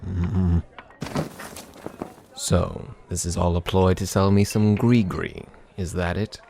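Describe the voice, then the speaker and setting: A man playing a wary, sardonic, articulate fellow in an audio drama, deadpan and formal in his sarcasm. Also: sits low, takes it slowly, creaky, a little hiss or hum, full character voice. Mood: suspicious, baffled.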